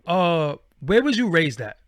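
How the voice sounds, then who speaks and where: A man's voice: fairly high pitch, very animated, a little slow, no echo, studio quality. A man hosting a live-stream interview, asking his guest questions in a casual way. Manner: casual, asks questions with many fillers and restarts. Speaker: host.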